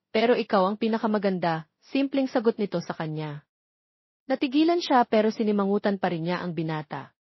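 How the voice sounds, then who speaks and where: Female storyteller, scripted love story narration, mid-range pitch, close dry recording. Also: slightly rough, no room sound, highs cut off.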